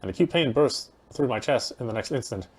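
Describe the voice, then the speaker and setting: A man's voice: medium pitch, expressive, brisk, background noise. A man reading a game's story aloud as dramatic narration, as a player would. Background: game music.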